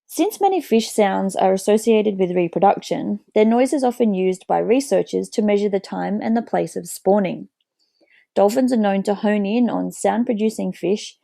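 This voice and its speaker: A woman's voice: medium pitch, moderate pace, vocal fry, dark tone, no room sound, clean studio sound. A woman biology teacher narrating an educational video, explaining plainly.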